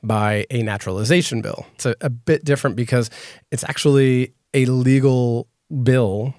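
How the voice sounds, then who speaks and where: A man hosting a podcast, laying things out in a clear, measured way. His voice sits at an ordinary male pitch and is recorded close and dry, with no room sound. He sounds scripted but easy to follow. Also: darkish tone, dry recording. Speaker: podcast host. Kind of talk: scripted podcast narration and interview.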